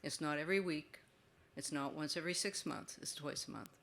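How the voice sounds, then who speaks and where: A man's voice: fairly high pitch, a little fast, creaky, sharp tone, a little hiss or hum. A man speaking on a conference panel in an earnest, emphatic way.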